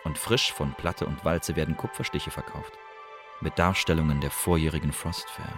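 A devoted young poet and secret agent of Elizabethan times in a radio play. His voice is very low, and he savors each image lyrically as he voices a letter home. Faint music runs behind him. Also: fairly even intonation, moderate pace. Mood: musing, painterly. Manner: lyrical, savoring descriptive lists. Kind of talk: German radio play monologue, literary letter.

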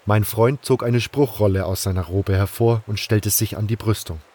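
A man's voice: lowish voice, fairly even intonation, moderate pace, dark tone, slightly rough. A man narrating a scripted fantasy audio drama in a dramatic storyteller's style. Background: music.

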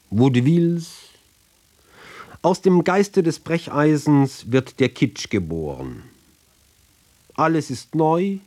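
A man's voice: fairly high pitch, a little mellow. A man gives a declamatory literary radio reading in clipped phrases with pointed, sardonic emphasis. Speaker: reader of a literary text.

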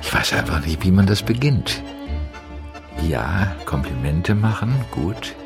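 Older man, shy, earnest, halting, creaky, widely swinging intonation, radio drama. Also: sits low, big pitch swings, loud music behind the voice, exaggerated voice. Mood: embarrassed, earnest. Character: bashful older man clumsily planning how to court. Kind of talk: naturalistic improvised radio drama.

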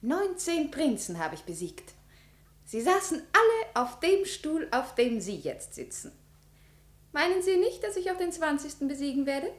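An actress playing a proud, imperious young princess in 1950s radio drama style, formal, haughty and challenging in debate. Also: female voice, high voice, sing-song, normal speed. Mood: cool, challenging. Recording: roomy 1950s radio studio recording.

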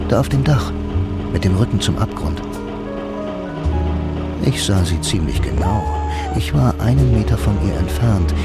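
An actor playing a blind man who narrates his murder case in a brooding, laconic radio noir style. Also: sits low. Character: blind male bank employee, lonely noir narrator accused of murder. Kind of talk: radio drama first-person noir narration.

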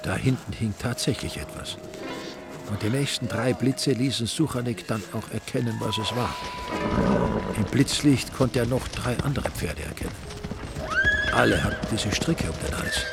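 A man narrating a suspenseful rural crime story in a scripted radio drama, with a creaky voice. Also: medium pitch, natural voice. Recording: music under the voice. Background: music.

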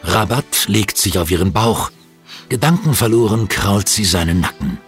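A low-pitched male narrator tells a scripted audio drama story. His delivery rises and falls a great deal, and faint music plays behind him. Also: expressive, moderate pace.

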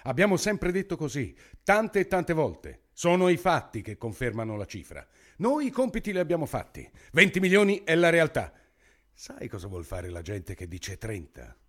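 An actor playing a bullying, foul-mouthed government official, self-satisfied and bloodthirsty, with emphatic, deliberate repetitions in a theatrical radio drama. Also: male voice, on the high side, sing-song. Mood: smug, insistent.

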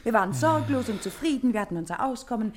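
An actress playing a gangster's wistful sweetheart, coaxing and gently complaining in a German radio crime satire. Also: female voice, on the high side, expressive, quick pace, a little hiss or hum, natural voice. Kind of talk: 1950s German radio comedy crime drama acting.

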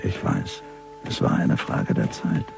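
An actor playing a grim, vengeful general in a radio crime drama, delivering a measured, menacing inner monologue. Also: male voice, over music, close to an everyday voice. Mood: grim, resolved. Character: grim, vengeful army general, bereaved father.

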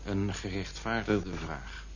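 A man's voice: low voice, slow pace, a little hiss or hum. An actor playing a formal, pedantic and suspicious man in an absurdist radio play. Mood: dryly courteous. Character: pedantic, suspicious man tied by a neck rope. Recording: muffled old radio drama recording.

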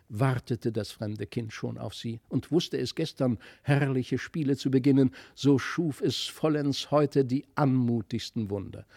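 Male audiobook narrator for classic fairy tales, expressive, somewhat creaky voice, dry studio-close sound. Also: mid-range voice, no room sound. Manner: expressive storytelling. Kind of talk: scripted literary fairy-tale narration.

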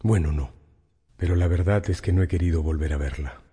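Deep, husky radio-drama seducer. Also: male voice, fairly quick, dark tone, in a large hall. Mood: feigned reluctance. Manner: pleading, theatrical, courtly. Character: ardent, insistent male seducer wooing a married woman.

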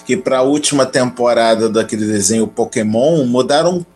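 A man's voice: medium pitch, normal speed, darkish tone, faint music behind. A man hosting a podcast, chatting informally with slang and the odd swear word. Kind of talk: casual podcast chat.

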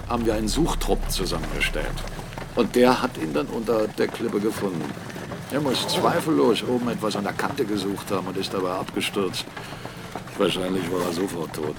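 An actor playing a blunt, argumentative captain and mill owner in a German radio drama, speaking emphatically. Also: male voice, fairly low pitch, normal speed, noisy background, lightly acted. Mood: matter-of-fact, grim. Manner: argumentative, emphatic, rhetorical questions. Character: blunt, defiant male mill owner and captain, critical of church.

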